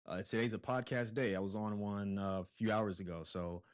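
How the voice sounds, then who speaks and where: Brooklyn guy on a podcast, lowish voice, chatty with lots of uhs. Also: fairly even intonation, a little fast. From Brooklyn, New York. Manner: conversational storyteller, frequent fillers and restarts. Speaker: podcast guest, real estate investor. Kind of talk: casual interview chat.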